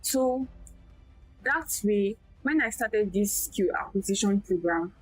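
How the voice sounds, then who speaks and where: A young woman speaks in a small, quiet voice as a guest tutor in a casual interview. She talks haltingly, often restarting her sentences. Faint music plays underneath. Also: medium pitch, expressive, slightly rough, no echo, poor audio. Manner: soft-spoken, halting with restarts. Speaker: guest, tech skills tutor.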